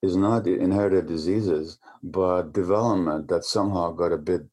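A man's voice: low voice, steady tone, normal speed, dark tone. A man being interviewed who explains at length in a lecturing way, often stumbling over repeated words as he restarts. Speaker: interview guest.

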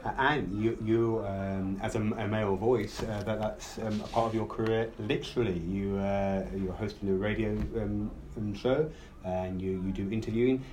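A man's voice: fairly low pitch, fairly even intonation, normal speed, hardly any pauses, a little mellow, slight background noise, poor audio. A man hosting a podcast, asking long questions hesitantly while he searches for words. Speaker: podcast host. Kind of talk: interviewing.

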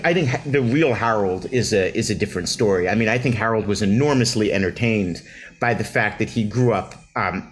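A man, a novelist being interviewed, answering at length in a reflective way, often restarting phrases with fillers. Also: lowish voice. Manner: thoughtful, many restarts and fillers. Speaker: novelist guest.

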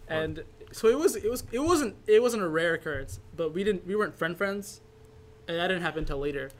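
A podcast host in their twenties telling stories in casual chat, animated, teasing and jokey. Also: mid-range voice, brisk. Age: 20s.

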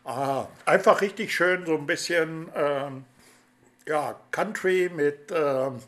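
Chatty male interviewer, slightly high voice. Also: takes it slowly, fairly bright tone. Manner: friendly, rambling questions with many fillers. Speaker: interview host. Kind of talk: chatty radio-style interview.

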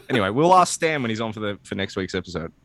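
Animated, low-pitched man chatting casually. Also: no echo. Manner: jokey, profane. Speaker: podcast co-host. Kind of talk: casual joking chat about comics.

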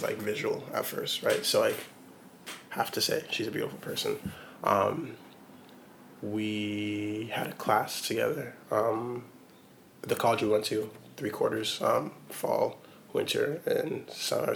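Man, 20s, low gravelly voice, halting casual interview answers, faint background hiss. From Portland, Oregon, USA. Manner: hesitant, many ums and likes. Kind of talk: relaxed conversational interview.

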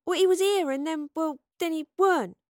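A defiant young woman captive from an adventure story, acted by a woman narrator. She talks in a blunt, streetwise way with a raised pitch, insistent and indignant, in a very dry recording. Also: sing-song, moderate pace, dry recording.

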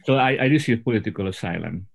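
A man from Hungary with a Hungarian accent, a Baptist pastor telling his story in a panel discussion, halting and repeating words. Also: on the low side, expressive, vocal fry. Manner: halting, repeats words while searching for English.

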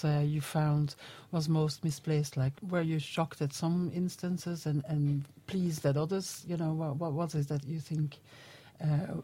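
A woman's voice: low voice, dark tone. A woman hosting a radio interview, asking questions in a conversational style.

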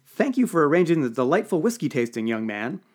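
A man's voice: a little high, very animated, a little fast, a little mellow, voice pushed up. A man voicing an elderly, tipsy female senator who speaks pleasantly with a slur. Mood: pleased. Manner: slurred, pleasant.

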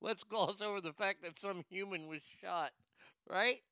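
A man's voice: sits high, a little slow, pushed and bright, clean tone, dry recording, studio quality. A man giving comedic news commentary, sarcastic and profane, who often breaks into laughter. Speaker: commentary host.

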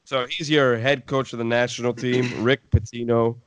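A man's voice: medium pitch, little pitch movement, normal speed, clear, resonant tone, no room sound. A man hosting a casual sports talk show, speaking in a blunt, opinionated way.

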